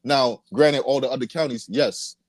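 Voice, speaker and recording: A man's voice: mid-range voice, normal speed, slight background noise. A man hosting a podcast, steering a casual political chat and interjecting with restarts. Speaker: podcast host.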